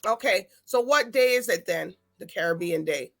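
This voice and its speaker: A woman's voice: mid-range voice, lively intonation, normal speed, a bit bright, soft background music. A woman hosting a talk show, chatting loosely and rambling between segments. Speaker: show host.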